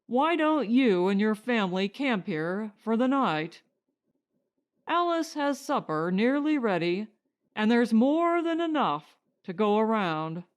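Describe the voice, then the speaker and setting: A woman voicing a reasonable, courteous male settler in an audiobook story, speaking in a deliberate, persuasive way. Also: fairly high pitch, big pitch swings. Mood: softened, hospitable.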